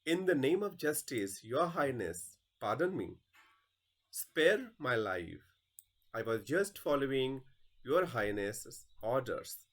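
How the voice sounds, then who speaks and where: A man's voice: mid-range voice, sing-song, slow, fairly bright tone, exaggerated voice. A man playing a cranky, servile goldsmith in a play reading, pleading desperately.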